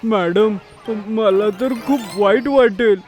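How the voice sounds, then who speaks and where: A man's voice: high voice, slow pace, soft background music. A man playing a tired, grumbling young schoolboy in a children's cartoon. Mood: weary, reluctant. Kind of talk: Marathi children's cartoon dialogue.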